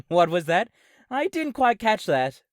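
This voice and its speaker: Woman playing a smug, animated robot teaser. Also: on the low side, unhurried, dry recording, studio quality. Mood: smug, teasing. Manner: playful, taunting. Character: smug, teasing robot companion. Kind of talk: audio drama acting.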